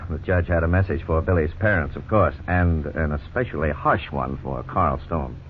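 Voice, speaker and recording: A middle-aged man playing a lawyer who narrates and explains the case in a measured, formal way, in 1950s radio drama style. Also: extremely low pitch, lively intonation. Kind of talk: scripted narration. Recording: scratchy 1950s radio broadcast, tinny, faint hiss.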